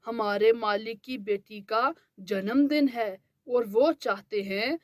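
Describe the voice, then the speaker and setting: Woman voicing a gentle village father, pitched down. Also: takes it slowly, no room sound, studio quality. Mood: affectionate. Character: gentle, loving village father, adult man.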